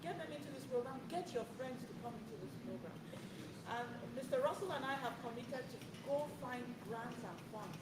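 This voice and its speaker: A middle-aged woman with a fairly high voice makes an earnest, pleading appeal to a room of parents, hammering home her points by repeating them. She is recorded from a distance in an echoey space, with noise all around. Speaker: camp organizer addressing parents. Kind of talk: impassioned appeal to an audience. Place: indoor event space.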